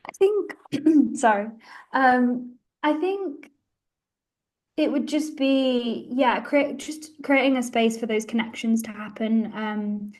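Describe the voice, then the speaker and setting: A woman's voice: on the high side, slow, a little mellow. A woman in her twenties with a northern English accent, chatting casually and informally as a podcast guest. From Warrington, northwest England. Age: 20s. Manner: informal, peppered with "like". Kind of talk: casual chat. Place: home room, indoors. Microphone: device mic on a video call.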